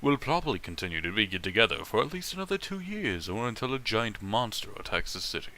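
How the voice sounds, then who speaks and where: A man reading fan fiction aloud as host, breaking off for animated, opinionated and profane casual commentary. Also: lowish voice, quick pace, slight background noise.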